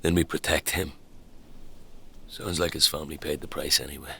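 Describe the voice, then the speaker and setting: An actor playing an awkward, wry fantasy fighter in naturalistic audio drama dialogue, hesitant and dry-humoured. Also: male voice, very deep voice, steady tone, moderate pace, gravelly, sharp tone, breathy edge, full character voice. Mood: grave. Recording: crowd ambience, faint background noise.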